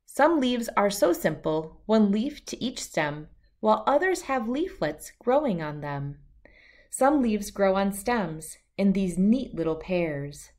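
A woman's voice: mid-range voice, sing-song, slow, darkish tone. A middle-aged woman reading a rhyming children's picture book aloud in a sing-song way that follows the verse.

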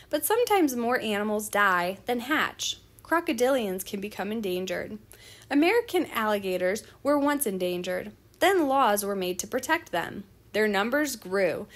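A woman's voice: a little high, fairly bright tone. A woman reading a children's nonfiction book aloud in a teacherly manner.

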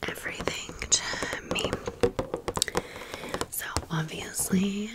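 A woman doing casual, chatty ASMR storytelling in a soft, breathy, unvoiced whisper. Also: medium pitch, fairly even intonation, takes it slowly. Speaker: ASMR video creator.

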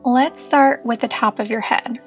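A woman leading a guided meditation as a therapist, speaking in a gentle, reassuring and encouraging manner. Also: fairly high pitch, fairly even intonation, unhurried, soft background music.

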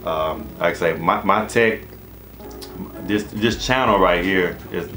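Deep-voiced American man, casual and rambling. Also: takes it slowly, fairly bright tone. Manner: rambling, repetitive, informal. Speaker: HVAC business coach and channel host. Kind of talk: casual advice monologue to camera. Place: small indoor office.

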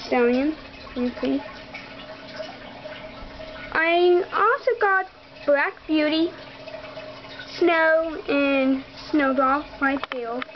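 A young girl giving a casual, halting tour of her toy horse collection, with many ums, talking straight to viewers. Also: high voice, muffled recording, noisy background. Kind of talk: casual barn tour narration. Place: bedroom. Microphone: handheld camera close to the floor.

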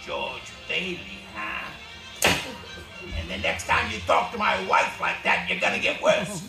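An actor playing an angry, brawling husband in a live radio play, gravelly and threatening. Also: male voice, on the low side, huge pitch swings, measured pace, music in the background. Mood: menacing anger. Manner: threatening, barking. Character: angry, brawling husband defending his wife. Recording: echoey hall from far off.

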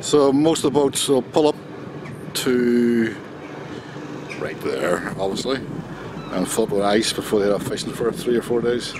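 Northern Irish man vlogging outdoors. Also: mid-range voice. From Northern Ireland. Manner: relaxed, many "uh" pauses, talks straight to viewers. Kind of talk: casual travel vlog talk to camera. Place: outdoors at a fishing harbour quayside. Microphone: camera held at arm's length. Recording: loud outdoor noise behind the voice.

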